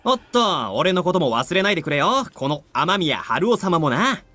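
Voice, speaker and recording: An actor playing a boastful, goofy high school boy in a visual novel, cocky and comically indignant. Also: big pitch swings, fast, sharp tone, dry recording, soft background music. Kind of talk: Japanese visual novel voice acting.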